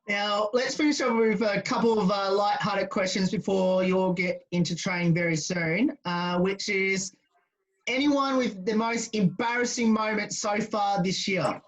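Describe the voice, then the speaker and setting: A woman interviewing a team over a video call, asking questions with many pauses and fillers. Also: mid-range voice, fairly even intonation, poor audio. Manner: hesitant, filler-heavy questioning. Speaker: radio interviewer.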